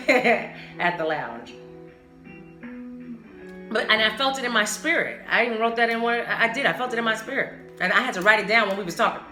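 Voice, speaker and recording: Female podcast host, lowish voice, casual and emphatic, slangy and sweary, music behind her. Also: bright, forceful tone. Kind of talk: casual solo podcast talk. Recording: roomy echo, music behind the voice.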